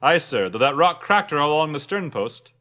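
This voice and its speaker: A man voicing a deferential, alarmed boatman calling out loudly and urgently to his captain in a fantasy story. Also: fairly high pitch, very animated, a little fast, pitched above the performer's own voice.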